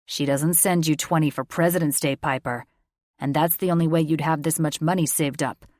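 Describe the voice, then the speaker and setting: A woman's voice: deep voice, normal speed, dry recording, clean studio sound, close to an everyday voice. A woman voicing a menacing, controlling father. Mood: angry, accusing. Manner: menacing, accusing, clipped. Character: cold, controlling, furious middle-aged father. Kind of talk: audiobook character voice.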